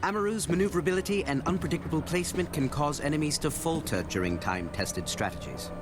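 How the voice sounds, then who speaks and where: An actor playing a male special-forces director in a video game, giving formal, measured, scripted appraisals of his operatives. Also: a little high, animated, close to an everyday voice. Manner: formal, measured, deliberate delivery. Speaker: game announcer introducing operators. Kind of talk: scripted narration. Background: music.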